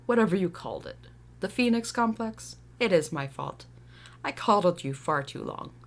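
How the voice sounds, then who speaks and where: A woman's voice: on the low side, lively intonation, normal speed. Woman playing a stern, domineering warrior mother. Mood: cold, commanding. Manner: commanding, scornful.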